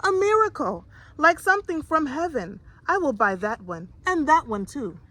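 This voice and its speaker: Woman acting an astonished, high-voiced village midwife. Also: big pitch swings, normal speed, sharp tone, dry recording, heavily acted. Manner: exclaiming, marveling. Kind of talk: storybook character voice.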